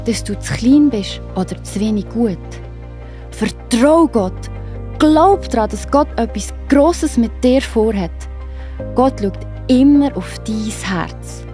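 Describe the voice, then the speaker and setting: A woman with a Swiss accent narrates a children's Bible tale. Her voice is a little higher than average. Faint music plays behind her storytelling. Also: warm tone.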